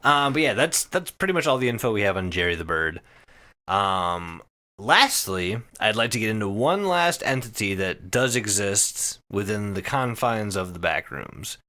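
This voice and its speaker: Man, podcast host, lowish pitch, very lively intonation, bright forceful tone, casual comedic explaining, sweary. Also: normal speed. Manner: animated, sweary, conversational.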